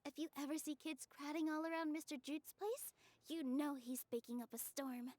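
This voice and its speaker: Actress as modest, kindly young woman in a fantasy game: courteous, earnest, strikingly high pitch. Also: normal speed, darkish tone, natural voice. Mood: delighted. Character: sweet, modest, polite young woman performer.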